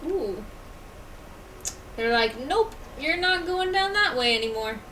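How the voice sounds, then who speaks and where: A woman's voice: high-pitched, animated, slow, pushed and bright, a little hiss or hum, poor audio. A woman casually commentating on a game stream, muttering and sing-songing playfully to herself. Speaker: game livestreamer.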